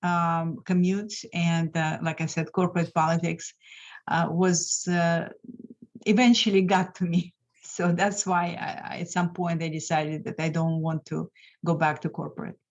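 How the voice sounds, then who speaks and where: A middle-aged or older woman from Lithuania, answering as an interview guest in a halting, hesitant way. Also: fairly low pitch, flat delivery. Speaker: interview guest, financial mentor. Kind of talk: conversational interview. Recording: slightly dull, lo-fi audio.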